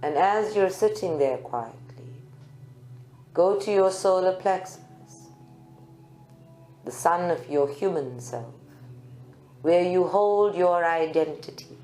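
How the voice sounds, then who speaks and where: Female meditation guide, very slow and deliberate, recorded live in a roomy space with faint music. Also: on the low side, lively intonation, dark tone. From South Africa. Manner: slow, deliberate phrases with long pauses. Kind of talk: guided meditation, spiritual channeling. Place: group meditation room at a centre.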